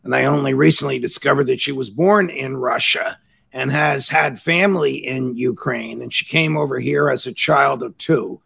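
A man hosting a podcast interview, asking questions in a formal, measured way. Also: medium pitch, vocal fry, a bit bright, husky. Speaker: podcast host.

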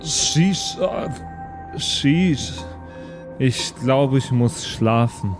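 A man's voice: mid-range voice, expressive, drawn-out words, music in the background. A man playing an earnest young male spaceship crewman with casual, slangy speech in an improvised tabletop audio play. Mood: groggy. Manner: casual, saying slang exclamations.

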